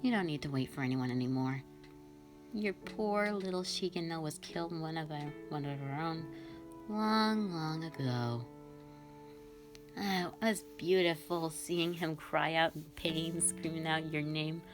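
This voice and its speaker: A woman's voice: low voice, unhurried, over music. A woman voicing a sadistic, vengeful female fox spirit in a scripted audio drama, theatrical and condescending. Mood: cold, menacing.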